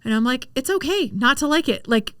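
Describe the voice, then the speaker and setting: A woman's voice: high voice, expressive, a little fast, fairly bright tone, clear, resonant tone, dry recording, clean studio sound. A middle-aged woman as a podcast guest, talking frankly and animatedly in casual chat, acting out little quotes. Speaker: podcast guest, real estate broker.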